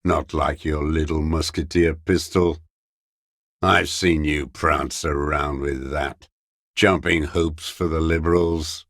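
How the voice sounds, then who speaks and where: A scornful old communist deserter in a video game. His voice is extremely low and gravelly, recorded close and dry. He speaks with bitter, unrepentant contempt, sneering at whoever he addresses. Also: little pitch movement, slow pace, fairly bright tone, dry recording, exaggerated voice. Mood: mocking contempt. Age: older. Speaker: voice actor. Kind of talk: dramatic video game character dialogue.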